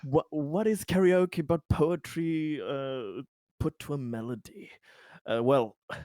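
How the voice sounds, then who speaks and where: A man playing a tabletop roleplaying game, improvising casual in-character talk in a halting, stammering, wordy way. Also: a little high, mellow, no echo, studio quality.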